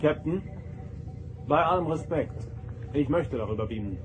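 An actor playing a resolute, dutiful starship first officer in a radio drama, with a direct, military manner. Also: male voice, a little high, expressive, normal speed, music in the background, lightly acted, rough recording. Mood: earnest.

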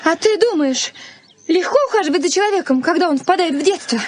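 Very high-voiced, anxious young fiancée. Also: female voice, animated, brisk. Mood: defensive. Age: young adult. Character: anxious young bride-to-be, tender and practical. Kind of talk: Soviet radio play acting. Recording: noisy 1980s radio play recording.

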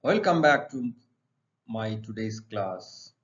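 A middle-aged man with an Indian accent, teaching English to students in deliberate, emphatic, repetitive phrases. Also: lowish voice, sing-song. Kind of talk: exam lesson explained to students. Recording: dull, lo-fi sound.

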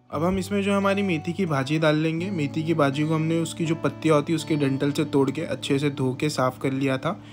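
Young man, cooking channel host, fairly high voice, chatty step-by-step narration, faint guitar music behind. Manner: chatty, friendly, step-by-step explaining with frequent fillers. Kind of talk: voice-over recipe narration.

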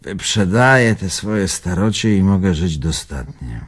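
An actor playing an old, carefree Polish antique collector, telling stories expressively in a radio drama. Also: male voice, low-pitched, a little slow, husky, exaggerated voice. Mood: wistful, chatty. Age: older. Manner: expressive, storytelling, old-world phrasing. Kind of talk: radio drama acting, reminiscing monologue.

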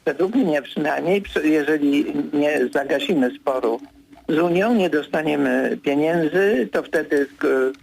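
An older man, a political commentator, answering as a guest in a radio studio interview, hesitant with many fillers, then firm and brisk. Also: a little high, vocal fry, crowd noise, rough recording.

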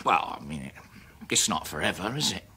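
An actor playing a scheming, chummy English husband in a rambling, repetitive, matey manner, in a 1980s radio drama. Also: male voice, low-pitched, animated, a little fast, sharp tone, slightly rough, heavily acted.

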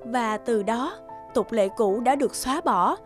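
A woman giving scripted storybook narration for an animated tale. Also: on the high side, a little slow, soft background music.

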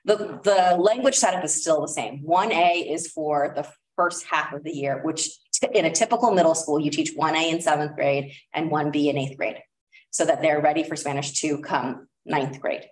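Low-voiced woman, echoey and distant. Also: moderate pace, darkish tone. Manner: casual and conversational, many fillers. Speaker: district administrator presenting curriculum. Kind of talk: presentation at a school board meeting. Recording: echoey room, voice far from mic.

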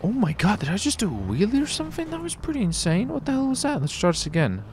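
A man commentating his roleplay gameplay, excitable and hyped, his voice jumping when something surprises him. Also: sits high, quick pace, a little hiss or hum. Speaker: gameplay streamer.

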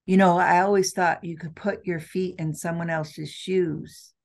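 Middle-aged woman, low gravelly voice, slow and deliberate, video call from an office. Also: steady tone. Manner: earnest, slow and deliberate with many restarts. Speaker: trade show CEO, guest. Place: office with windows. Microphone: video call webcam.

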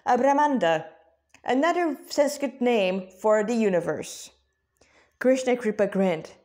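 A woman reading her own story aloud in an informal, expressive way, with casual asides. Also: on the high side, measured pace.

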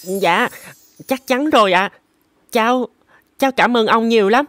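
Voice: mid-range voice, measured pace, fairly bright tone, in a large hall, natural voice. An actor voicing a polite, devoted little boy in a cartoon, earnest and hesitant. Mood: polite, timid. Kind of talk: cartoon voice acting.

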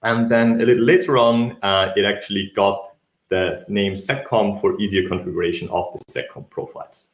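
Austrian man, low voice, roomy sound. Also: moderate pace. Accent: Austrian German. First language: German. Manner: relaxed, with frequent fillers and restarts. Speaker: conference speaker. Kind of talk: online technical talk with slides. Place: small room at home, webcam. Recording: roomy webcam audio.

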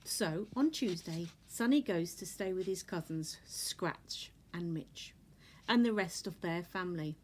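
A woman reads a children's picture book aloud with expressive, deliberate storytelling delivery. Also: on the low side, breathy edge. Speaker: storybook reader. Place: indoors in a furnished room at home.

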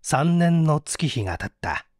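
A male audiobook reader delivers mystery narration with theatrical suspense. His voice sits fairly high and moves widely in pitch. The sound is dry and close. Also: big pitch swings, measured pace, no room sound. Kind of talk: dramatic scripted narration of a mystery story.

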